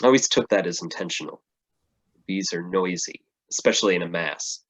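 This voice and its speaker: A man's voice: deep voice, fairly quick, fairly bright tone, no echo, low-quality recording. An actor playing an earnest, solemn male superhero in a staged play reading. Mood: reflective.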